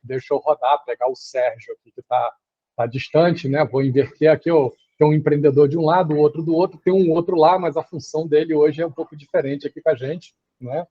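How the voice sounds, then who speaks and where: A man's voice: fairly high pitch, fairly even intonation, fairly quick, dry recording, muffled recording. A man moderating a panel, casually handing the floor to the next guest. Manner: casual, informal.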